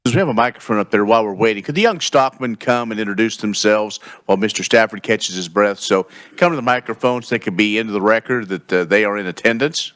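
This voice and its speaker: Low-voiced man chairing a hearing. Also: fast, few pauses. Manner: relaxed, conversational, some fillers. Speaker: committee chair. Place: state legislature committee room.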